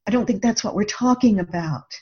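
A woman talks thoughtfully in a reflective discussion, putting questions to the listener. Her tone is mellow and rather husky, at a middling pitch. The recording is a little dull. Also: brisk. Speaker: radio show co-host and author.

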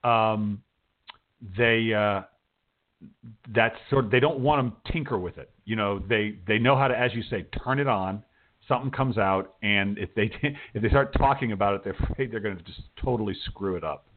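A middle-aged man hosting a radio interview in a casual, informal way, rambling a little as he thinks out loud. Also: lowish voice, moderate pace. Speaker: radio show host and interviewer. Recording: band-limited, dull radio-show audio.

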